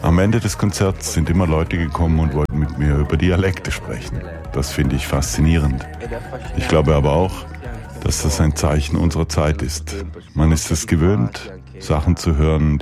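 Man, very low voice, voice-over translation, music clearly underneath. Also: normal speed. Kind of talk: calm read voice-over translation.

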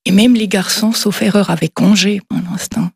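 An older woman, a radio guest answering questions in a hesitant, halting way. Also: mid-range voice, steady tone, vocal fry, darkish tone, husky. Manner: hesitant, halting, with short agreeing replies. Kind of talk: casual interview answers.

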